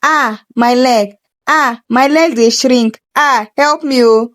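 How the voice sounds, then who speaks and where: A woman's voice: a little high, takes it slowly. A woman with a Nigerian accent voicing a wicked, blustering, indignant landlady in a folktale. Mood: panicked. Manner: loud, indignant Pidgin exclamations.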